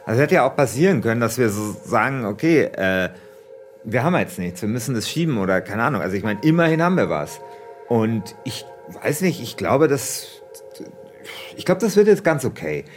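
A man's voice: medium pitch, sing-song, brisk. A man chats casually as a podcast co-host, often groping for words. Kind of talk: casual chat. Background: music.

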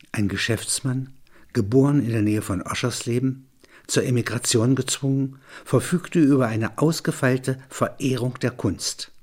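A man reading literary prose as a narrator, with measured, deliberate phrasing. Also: mid-range voice, breathy edge.

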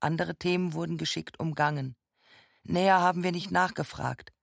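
An actor reading trial testimony soberly for a documentary radio play. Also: male voice, a little high, steady tone, moderate pace, vocal fry, dry recording, clean studio sound. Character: courtroom protocol reader quoting judge and witness, sober. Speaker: actor reading court transcript.

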